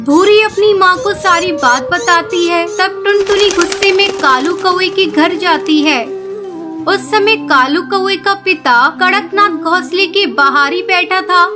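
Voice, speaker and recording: High-pitched woman narrating a children's story. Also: a little slow, over music.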